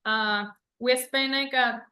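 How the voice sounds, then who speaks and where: A woman with a Venezuelan accent and Spanish as her first language speaks in a fairly high, very bright voice. She tells personal stories casually as a guest in an interview. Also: fairly even intonation, takes it slowly, clear, resonant tone. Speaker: guest, bakery owner.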